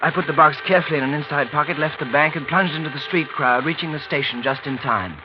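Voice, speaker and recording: An actor playing a courteous, thoughtful young English gentleman in old-time radio drama, speaking in a polite, measured, reflective way. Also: fairly high pitch, loud music behind the voice, natural voice. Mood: grave narration. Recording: muffled vintage radio recording.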